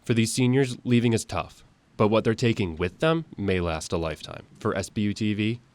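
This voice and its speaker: A man's voice: on the low side, animated, brisk, a little mellow. A young man narrating scripted news packages as a student TV reporter. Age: young adult.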